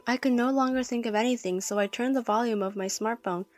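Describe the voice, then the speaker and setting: Story narrator: woman, fairly high voice, scripted first-person read over light music. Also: quick pace, darkish tone.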